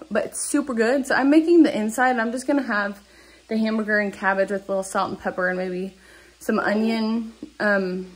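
Chatty woman filming her kitchen. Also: medium pitch. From Nebraska, USA. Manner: chatty, rambling, many "um"s and restarts. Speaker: farm vlogger. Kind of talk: casual DIY vlog narration. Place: home kitchen, indoors. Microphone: handheld phone camera.

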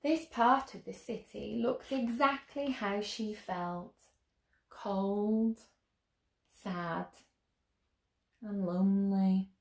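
A young woman reading a picture book aloud to children as a storyteller, expressively, with gentle questions to her listeners. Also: medium pitch, drawn-out words, creaky, long pauses. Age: young adult. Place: small room at home, indoors. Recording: roomy indoor echo.

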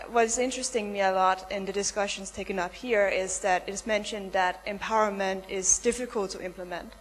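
A woman from the audience earnestly putting a question to the panel. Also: mid-range voice. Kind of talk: question from the floor. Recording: reverberant hall with faint hum.

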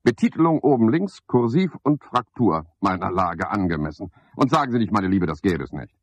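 An actor playing a garrulous, self-pitying and sanctimonious porter, rambling theatrically on the telephone in a 1970s German radio play. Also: male voice, on the low side, sing-song, normal speed, slightly rough, dry recording. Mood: fussy, officious. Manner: theatrical, rambling one-sided telephone talk with sudden swoops. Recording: dry, slightly dull 1970s radio recording.

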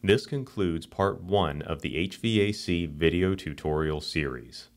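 A man giving calm, scripted narration for a software tutorial screencast. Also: low voice, unhurried.

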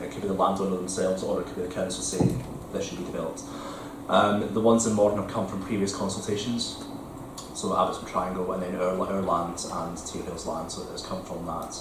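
A man answering councillors' questions at a meeting in a measured, explanatory way with frequent ums. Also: low voice, background noise. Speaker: council regeneration officer. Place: large council committee room. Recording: echoey committee room from far off.

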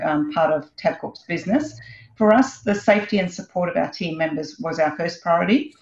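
A woman executive giving a measured, composed answer on a webinar panel over a video call. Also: fairly low pitch. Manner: measured, composed corporate delivery. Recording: roomy, dull video-call audio.

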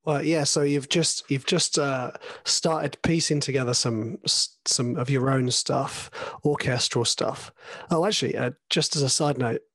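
A man hosting a podcast, hoarse from a cold, talking casually with rambling asides. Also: mid-range voice, measured pace, fairly bright tone, no room sound. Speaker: podcast host.

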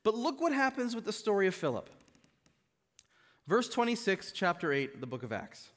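A man in his thirties preaching a sermon from a pulpit in a church hall, animated and rising to emphatic peaks, reading scripture aloud into a stand mic. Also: high-pitched, normal speed. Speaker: guest preacher. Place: church stage, mid-size indoor hall.

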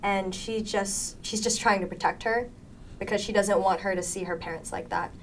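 A woman's voice: mid-range voice, fairly even intonation, normal speed, slight background noise. A teenage young woman, a student actor, explains things casually in a panel interview. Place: indoor panel, seated group. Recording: faint background ambience.

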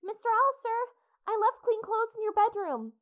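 A woman's voice: very high-pitched, lively intonation, moderate pace, a bit bright. An actress playing a sweet, fretful young housekeeper in a comic dub, with a girlish, earnest and proper manner. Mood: fretful, caring.

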